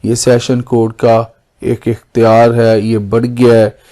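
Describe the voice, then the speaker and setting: A man's voice: medium pitch, flat delivery, measured pace, dark tone. A man with a Pakistani accent presenting an explainer as a lawyer, speaking formally and often restating his points in other words. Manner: formal, repeats and rephrases points. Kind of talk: explanatory lesson, semi-scripted.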